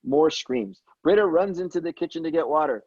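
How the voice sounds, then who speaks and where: A man reads screenplay action lines as a scripted narrator. His tone is relaxed and a little husky. The recording is dry and slightly dull. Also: on the high side, brisk, no echo. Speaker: stage-direction reader at a table read.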